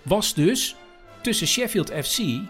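A man's voice: high voice, big pitch swings, a little slow, over music. A man hosting a podcast, narrating and explaining in an informal style. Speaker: podcast host.

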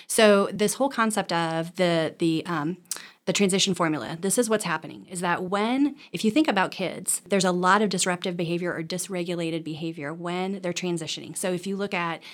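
Fast-talking woman explaining like a counselor. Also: lowish voice. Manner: animated self-talk, checks in with "right?". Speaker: counselor or therapist, interview guest. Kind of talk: conversational explaining with examples and acted-out quotes.

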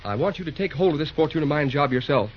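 A man's voice: fairly high pitch, expressive, fast talker, natural voice. A man acting a firm but fair railroad boss, businesslike and clipped in an old radio drama. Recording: muffled, noisy old radio recording.

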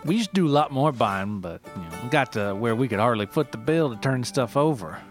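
A man voicing an aggrieved, sentimental rustic ginseng forager with an Appalachian accent and halting, folksy speech, in a narrated story. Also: medium pitch, animated, faint music behind, lightly acted. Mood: resigned, worried. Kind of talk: mock reality-show character in a narrated story.

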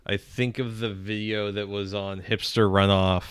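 Male co-host, crisp forward voice in a lowish register, halting casual delivery with deadpan comic asides. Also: no echo. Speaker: podcast co-host. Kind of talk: casual comedic podcast chat.

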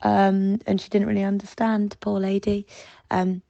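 A woman's voice: mid-range voice, little pitch movement, normal speed, creaky, mellow, dry recording, highs cut off. A British woman, a guest contributor, telling an anecdote in a casual, chatty way.

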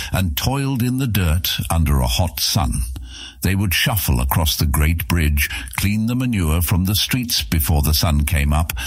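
A man narrating an epic fantasy audiobook in a dramatic, measured, suspenseful storytelling style. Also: low voice, breathy edge, no echo, studio quality.

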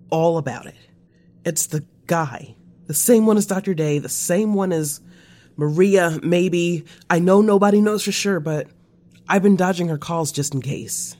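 Slow-talking, remorseful confessed spy. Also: female voice, low-pitched, darkish tone. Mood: earnest confession. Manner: code-switches between English and Spanish. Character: remorseful confessing former spy, slangy and earnest. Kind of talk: naturalistic audio drama acting.

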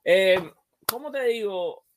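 A man's voice: high voice, takes it slowly, low-quality recording. A man hosting a sports show, discussing baseball casually and emphatically, often repeating phrases to drive a point home.

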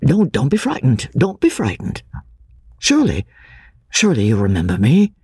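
A man playing an earnest gentleman in an audiobook, speaking gently and anxiously to reassure. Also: medium pitch, huge pitch swings, normal speed, breathy edge, no echo, heavily acted. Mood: anxious reassurance. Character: earnest gentleman gently soothing a frightened woman. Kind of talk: audiobook character acting.

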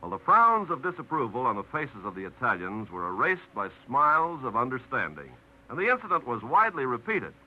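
Lively polished vintage radio announcer, a man. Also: medium pitch, brisk. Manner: polished, lively storytelling delivery. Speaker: announcer host. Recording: muffled 1950s radio broadcast.

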